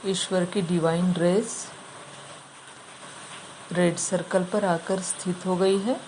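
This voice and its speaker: A woman leading a guided meditation, giving instructions in short, measured phrases with long pauses between them. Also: on the low side, steady tone, mellow, slight background noise, rough recording.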